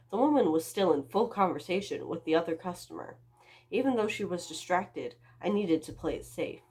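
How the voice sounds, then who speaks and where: A woman's voice: on the low side, a little mellow, slightly rough. A young woman reading her own story aloud as a book vlogger, with a dramatic, self-mocking delivery and amused asides.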